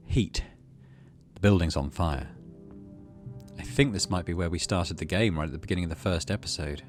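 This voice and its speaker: A man hosting a let's play, commenting on a game and reading its text aloud. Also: deep voice. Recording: clear background noise.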